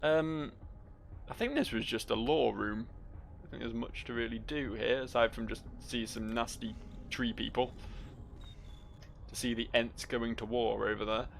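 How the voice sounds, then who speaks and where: Dry, joking man with a creaky voice. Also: mid-range voice, steady tone, unhurried, long pauses, slight background noise. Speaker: video game player and host. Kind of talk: casual let's-play commentary, reading in-game journals aloud.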